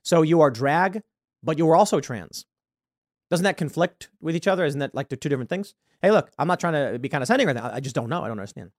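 A man hosting a commentary show, talking through his views in a halting way with many repeated words and sudden loud emphasis. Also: a little high, lively intonation, no room sound, studio quality. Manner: hesitant, repeats words, stresses points loudly. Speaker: show host. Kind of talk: political commentary monologue.

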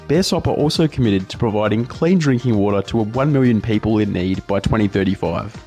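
A man's voice: lowish voice, darkish tone. An Australian man hosting a podcast in an informal, upbeat way. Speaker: podcast host. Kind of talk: scripted podcast introduction and casual interview.

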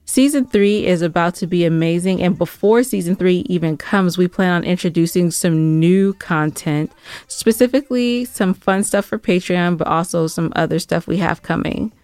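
A woman's voice: on the low side, moderate pace, a little mellow, clean tone, dry recording. A woman co-hosting a podcast, chatting casually and giving announcements to listeners. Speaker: podcast co-host.

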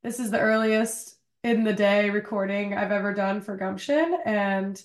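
A young woman hosting a podcast, talking in a chatty, upbeat way with quick asides. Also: medium pitch. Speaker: podcast host. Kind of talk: casual podcast interview. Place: home in a cold city.

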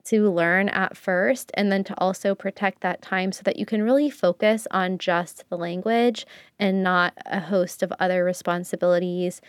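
A woman's voice: fairly low pitch, steady tone, moderate pace, no room sound, studio quality. A woman talking casually and earnestly, giving advice on learning a language and building her points through repetition. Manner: earnest, explanatory, uses repetition for emphasis.

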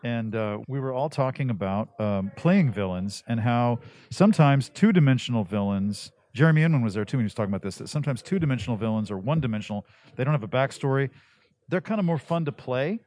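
A middle-aged man with a deep, bass-baritone voice talks casually as an interview guest. He is animated, often jumping higher in pitch when he stresses a point, then settling back into his low register. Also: quick pace. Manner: animated, jumps up in pitch for emphasis. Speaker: voice actor guest.

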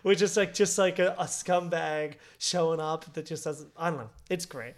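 A man's voice: on the high side, fairly even intonation, moderate pace. A man hosting a podcast in casual comedic chat, rambling and often trailing off. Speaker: podcast host.

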